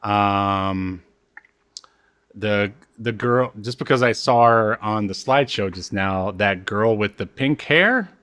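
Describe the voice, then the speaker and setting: Slow-talking man with a lowish voice. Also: a bit bright. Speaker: podcast co-host. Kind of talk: casual unscripted chat.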